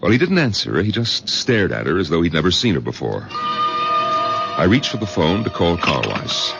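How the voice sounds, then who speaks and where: A man's voice: low voice, huge pitch swings, normal speed, creaky, loud music behind the voice, full character voice. An actor playing a proud, exasperated village doctor in an old radio drama, emphatic and indignant as he justifies himself. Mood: defensive. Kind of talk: 1970s radio drama acting. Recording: muffled, noisy old radio recording.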